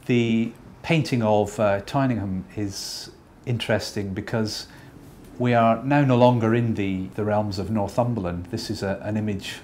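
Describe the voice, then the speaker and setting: A man with a fairly low voice muses on his paintings, pausing often with soft fillers as he gathers his thoughts. The recording carries a faint background hiss. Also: fairly even intonation. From Scotland. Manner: thoughtful, unhurried, with pauses and fillers. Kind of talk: reflective talk about his paintings.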